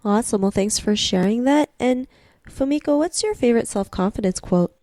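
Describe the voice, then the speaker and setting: A woman's voice: fairly high pitch, expressive, a little fast, mellow, no echo, studio quality. A young woman hosting an interview podcast, upbeat and formulaic in her questions. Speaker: podcast host.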